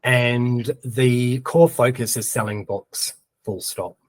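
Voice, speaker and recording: A man's voice: medium pitch, fairly even intonation, takes it slowly, dry recording. A man with a New Zealand accent giving a casual screen-share tutorial, chatting and thinking aloud as he types, with plenty of ums. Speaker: presenter of a webinar.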